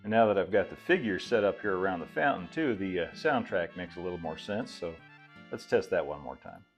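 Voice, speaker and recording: A man's voice: low-pitched, expressive, fairly quick, soft background music. A man in his fifties hosting a hands-on workshop tutorial in a casual, folksy, easygoing way, explaining things step by step. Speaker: host of a model railway channel. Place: indoor hobby workshop.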